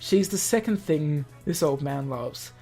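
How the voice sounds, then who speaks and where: A man's voice: fairly high pitch, expressive, unhurried, mellow, slightly rough. A man hosting a gaming video, reading a visual novel aloud with casual asides and correcting himself as he goes. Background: music.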